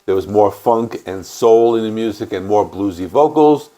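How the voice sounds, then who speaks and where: A man with a stuffy cold, hosting a music channel and calmly explaining an album's history in a casual, matter-of-fact way. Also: on the low side, normal speed. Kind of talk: casual record review and band history.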